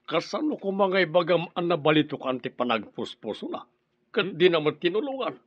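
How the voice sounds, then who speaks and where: A man's voice: on the high side, big pitch swings, fairly quick, no echo, lo-fi sound. A man with an Ilocano accent playing an inquisitive village father in a radio drama. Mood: questioning.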